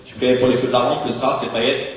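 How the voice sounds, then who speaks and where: A man performs slam poetry in a big reverberant hall, heard from some distance. He delivers rhymes very quickly and rhythmically, declaiming as an embittered, washed-up homeless drinker. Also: medium pitch, level tone. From France. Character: embittered, washed-up homeless street drinker remembering his youth. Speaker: slam poet. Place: large theatre hall, live on stage. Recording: echoey hall, distant mic, faint noise.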